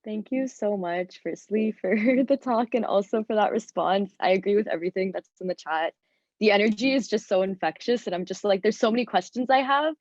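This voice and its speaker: A woman's voice: medium pitch, a little fast, a little mellow, no echo. Hesitant young-sounding woman on a dull call. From Syria. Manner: searching, hesitant, full of fillers. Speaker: audience member asking a question. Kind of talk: thoughtful question in an online Q&A. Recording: dry, dull lo-fi online call audio.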